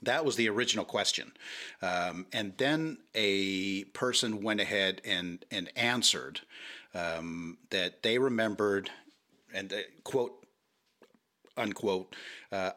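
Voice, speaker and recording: A middle-aged man with a low voice and a bright, forceful edge. He speaks as a podcast guest, stumbling through restarts and fillers. He keeps his explanation careful and diplomatic. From Hawaii. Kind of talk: casual podcast chat.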